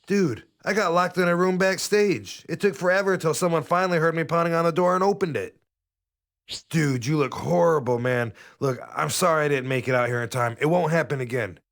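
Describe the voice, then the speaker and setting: An actor playing a young wrestler buddy in a game cutscene, casual, earnest and slangy. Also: male voice, a little high, brisk, a bit bright. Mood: apologetic. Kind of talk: video game cutscene acting.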